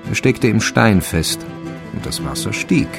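A man narrating a crime radio play in a measured, wry, literary manner. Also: lowish voice, expressive, gravelly. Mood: grave, suspenseful. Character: dry, omniscient male narrator of a village crime story. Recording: music behind the voice. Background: instrumental music.

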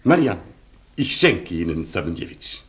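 An actor in a radio play voicing a proud, romantic Polish colonel with a Polish accent and a gallant, commanding manner. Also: male voice, low-pitched, very animated, normal speed, a bit bright.

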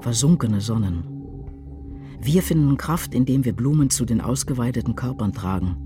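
A man reciting poetry for a radio drama in a grave, measured, declamatory way. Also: medium pitch, lively intonation, mellow, natural voice. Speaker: reader in a radio adaptation of a poem cycle. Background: music.

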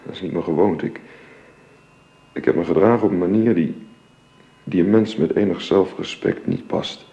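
A man's voice: low voice, moderate pace, creaky, pauses often, dark tone. An actor playing a middle-aged, formally polite and remorseful husband and father in a radio drama, hesitant and stammering. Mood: contrite. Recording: dull, roomy, noisy radio drama recording.

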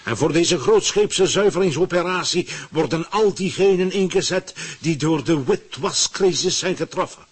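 A man's voice: a little high, moderate pace, hardly any pauses, husky. A man playing a pompous, scheming government ruler in a satirical radio play, dictating orders imperiously with blustering hesitations. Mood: commanding.

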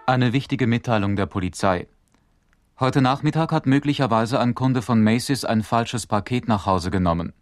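An actor playing a sober radio announcer reading an urgent police bulletin in a formal news style. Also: male voice, mid-range voice, moderate pace, soft background music, close to an everyday voice.

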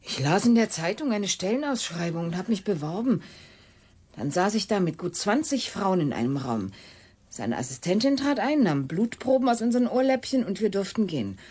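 A woman acting an intimate young lover in a German radio drama, with a breathy, husky voice and a hushed, hesitant, confiding manner. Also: mid-range voice, very animated, normal speed. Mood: haunted, confiding.

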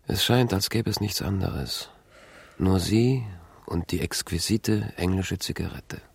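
An actor playing a brooding, haunted man in a radio drama, speaking in a measured, subdued way. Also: sits low, vocal fry, slightly rough, exaggerated voice. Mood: brooding, reflective. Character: brooding man haunted by fragmentary childhood memories. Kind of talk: introspective radio drama monologue and dialogue.

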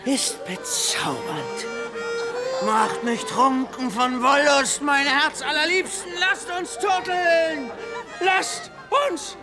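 A man's voice: extremely high pitch, animated, slow, full character voice. An actor playing a giddy, amorous male reveller with a swooning sing-song manner in a radio drama. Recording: loud violin music behind.

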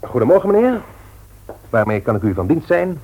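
A man's voice: a little high, big pitch swings, a little fast, a little mellow, slight background noise. An actor playing a polite, obliging bookshop owner in a radio play, with hesitant salesman's patter. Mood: courteous. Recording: old radio drama recording.

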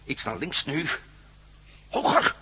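An actor playing a gruff, urgent captive adventurer in a Dutch radio play, speaking tersely and with strain. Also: male voice, slow pace, pushed and bright. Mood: strained, commanding.

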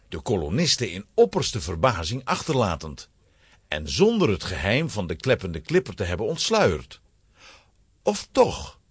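Casting: man as children's story narrator, raspy, extremely expressive scripted delivery. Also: lowish voice, no room sound, clean studio sound.

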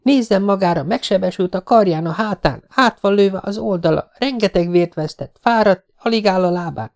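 A man's voice: high-pitched, animated, vocal fry, darkish tone, voice pushed up. A man reading an audiobook, voicing a worried, fiery young woman who pleads with rising emotion. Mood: upset. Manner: pleading, rising to near-hysterical.

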